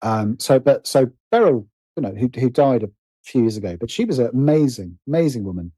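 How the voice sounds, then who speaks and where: A man's voice: mid-range voice, animated, a little fast, warm tone, slightly rough, no room sound, rough recording. A middle-aged British man speaking reflectively as an interview guest, often stopping to rephrase himself. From Britain. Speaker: guest, writer and producer.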